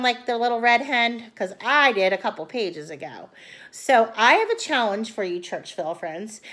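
A woman in her thirties reading a picture book aloud to children like a teacher, in an expressive way. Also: on the high side. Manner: expressive, addressing young children. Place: small room at home, indoors.

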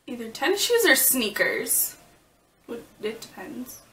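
A woman's voice: high voice, sing-song, measured pace, a bit bright. A young woman in her twenties joking and giggling as a guest in a casual webcam chat in a small bedroom. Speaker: guest vlogger. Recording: roomy, slightly distant webcam sound.

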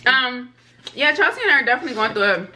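A woman's voice: sits high, lively intonation, fast talker, pushed and bright, slight background noise, rough recording. A woman in her thirties hosting a casual eating show, chatting while she eats at a table. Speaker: host. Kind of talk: casual mukbang chat. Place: indoor studio set at a table.

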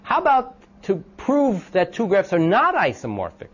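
A man with a loud voice giving an informal classroom lecture, thinking aloud with many ums and restarts and asking the class questions. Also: high voice, huge pitch swings, normal speed, husky. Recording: dull lo-fi lecture recording.

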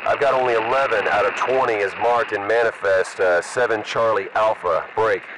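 An actor playing a businesslike patrol soldier in a shooter game, giving measured procedural radio reports. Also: male voice, fairly low pitch, steady tone. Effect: radio filter. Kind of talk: game voice acting, military radio chatter. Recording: very noisy radio, distant from mic.

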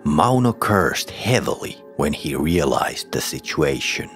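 Male horror narrator, very low, unhurried, hushed and breathy. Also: sing-song, faint music behind. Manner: slow, hushed, often half-whispered. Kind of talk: scripted horror story narration.

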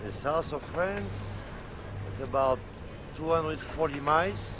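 A man's voice: a little high, a little slow, noisy background. An older man, a yacht captain, chatting casually on deck in front of an action camera. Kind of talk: casual chat. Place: outdoors on the deck of a sailing yacht at sea. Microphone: action camera from a short distance.

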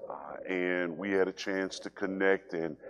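A man in his sixties, a pastor making a formal church introduction in a halting, measured way. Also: low voice, fairly even intonation, lo-fi sound, faint music behind. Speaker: pastor introducing a guest preacher. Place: indoor church room.

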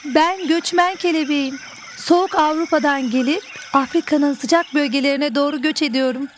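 A woman voicing a gentle, pious migrating butterfly in an educational cartoon, speaking politely and earnestly. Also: sits high, moderate pace, a little hiss or hum, lightly acted. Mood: gentle pleading.

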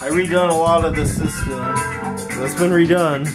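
High-pitched man giving a casual home tour. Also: fairly even intonation, normal speed, loud music behind the voice. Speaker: host of a hobby tech vlog. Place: small home room, indoors. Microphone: handheld camera held by the speaker.

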